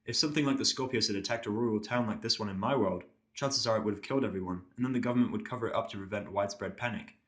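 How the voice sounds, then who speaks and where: A man's voice: fairly low pitch, rapid-fire, few pauses, a bit bright, lightly acted. A man playing an irritable, sarcastic injured patient, blunt and cynical, in a first-person audio drama. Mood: sceptical.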